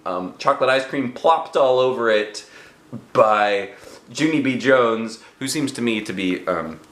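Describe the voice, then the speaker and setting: A man reading a children's story aloud, expressive and unhurried, with casual asides. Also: lowish voice, reverberant. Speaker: storybook reader, dad.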